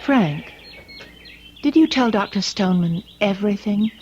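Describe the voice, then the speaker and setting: An actress playing a concerned, affectionate young fiancée in an old-time radio drama, soothing and questioning. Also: female voice, mid-range voice, animated, a little slow, darkish tone, slight background noise, close to an everyday voice. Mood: probing. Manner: soothing, solicitous. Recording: dull old radio recording.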